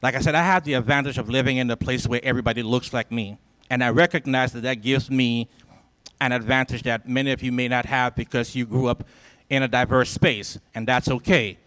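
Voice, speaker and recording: A man's voice: medium pitch, fairly even intonation, a little fast, fairly bright tone. A man in his forties from Liberia, giving a rousing motivational speech to students with a handheld microphone in a large lecture hall, in a preacher-like cadence full of emphatic repetition. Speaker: symposium speaker.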